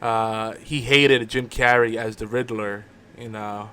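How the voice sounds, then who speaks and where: A man's voice: lowish voice, steady tone, takes it slowly, bright, forceful tone, clean tone. A man co-hosting a casual talk show, bantering about films in an easygoing, agreeable, conversational way. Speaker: co-host of an audio drama talk show.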